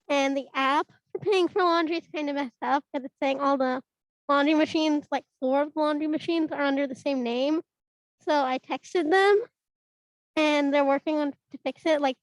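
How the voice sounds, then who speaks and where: A woman with a high voice, still getting over a cold, reports to a student meeting in a chatty way full of fillers. Her call audio is very dry and close. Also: no room sound, poor audio. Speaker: student senator. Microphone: video call.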